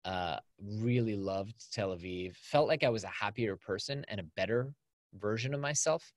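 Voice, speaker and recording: A man's voice: lowish voice, normal speed, dry recording, clean studio sound. A man, talking as a podcast guest in an upbeat, chatty way over a video call. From California. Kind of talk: casual conversational interview. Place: small home studio with acoustic foam.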